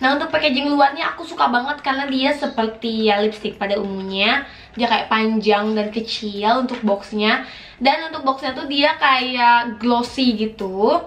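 A young woman with a hoarse voice, chatting casually as a beauty vlogger reviewing products, gushing and full of fillers. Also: on the high side, fairly bright tone, echoey room. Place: small indoor room, plain wall.

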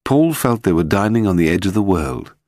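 A man reads a mystery audiobook. His voice is deep and moves expressively through the scripted prose. The sound is dry and close. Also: animated, brisk, darkish tone, no room sound. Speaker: audiobook narrator. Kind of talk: scripted narration of a mystery novel.

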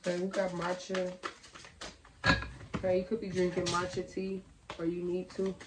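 A young woman with a slightly raspy, low voice chats through a tarot reading in a loose, slangy way. Her delivery stays fairly flat. The sound is roomy and picked up from a little way off. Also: moderate pace, noisy background. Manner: chatty, slangy, thinking aloud. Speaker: tarot reader. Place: bedroom at a table. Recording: roomy, a bit far from the mic.

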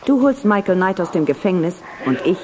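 A woman's voice: on the low side, big pitch swings, a little fast. An actress playing a scheming, commanding female villain in a radio play, imperious and intense. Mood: grave, calculating. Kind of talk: German radio play acting. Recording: noisy cassette radio play.

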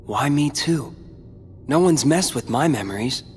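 A man plays a brooding teenage boy hero from a video game. He speaks earnestly and with pride, taking his words slowly over background music. The delivery is dramatic and deliberate. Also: mid-range voice, lively intonation, lightly acted. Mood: guarded, quiet.

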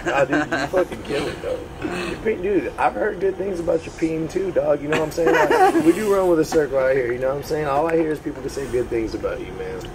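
Slangy, hyped-up host outdoors. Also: male voice, on the high side, big pitch swings, quick pace, very noisy. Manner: hyped, bro slang, laughs a lot. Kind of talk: casual chat. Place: backyard, outdoors.

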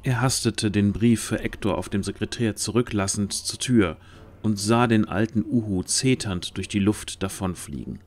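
Low-voiced male storyteller. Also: normal speed, darkish tone. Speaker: narrator. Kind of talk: scripted story narration. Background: music.

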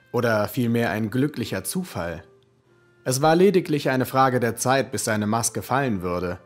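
Guy narrating a dramatic audio play, medium pitch, measured literary delivery, music behind him.

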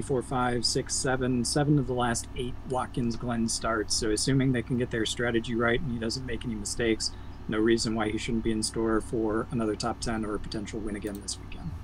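A man analysing racing for a betting preview over a video call, measured and stat-heavy with the odd um. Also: medium pitch, little pitch movement, slight background noise, low-quality recording. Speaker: motorsports analyst guest.

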